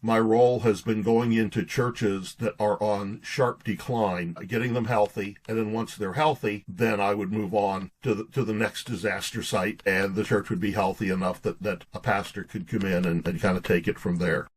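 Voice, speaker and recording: A man's voice: lowish voice, fairly even intonation, moderate pace, few pauses, husky. A man in his late sixties, a pastor and guest, chatting and telling stories in an interview, often repeating words. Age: 60s. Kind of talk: casual storytelling interview talk.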